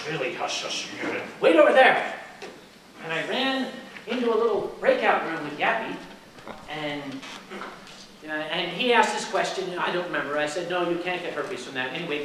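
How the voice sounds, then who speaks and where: Animated man telling a story in a big hall. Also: high-pitched. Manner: animated, acts out the voices in his story. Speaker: convention storyteller. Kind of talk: comic storytelling to a live audience. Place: large lecture hall. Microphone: handheld mic, heard from far off. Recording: echoey hall from far off, noisy.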